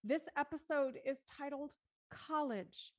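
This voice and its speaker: A woman's voice: high-pitched, a little slow, no room sound. A woman hosting a livestreamed cast interview, speaking articulately as she presents to an audience. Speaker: host.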